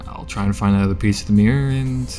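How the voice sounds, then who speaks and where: A man playing a tabletop game, bantering casually in a halting, informal way. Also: fairly low pitch, steady tone, warm tone, faint music behind.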